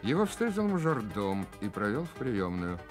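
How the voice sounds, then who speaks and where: An actor narrating a detective story as a scripted radio play. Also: male voice, on the low side, very animated, moderate pace, faint music behind. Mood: storytelling.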